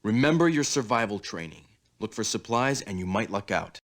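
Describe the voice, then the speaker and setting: An actor playing a gruff, sardonic military commander giving mission briefings in a video game cutscene. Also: male voice, lowish voice, expressive, normal speed, a bit bright. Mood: wry, stern. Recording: music behind the voice. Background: music.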